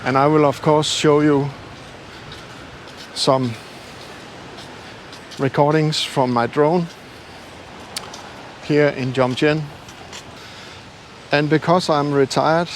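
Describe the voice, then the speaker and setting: Older male travel vlogger, non-native English accent, slightly high voice, unhurried halting delivery, casual and modest, recorded outside. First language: not English. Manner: halting, self-deprecating. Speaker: travel YouTuber. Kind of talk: casual vlog talk to camera. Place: outdoors. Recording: noisy outdoor street ambience with traffic.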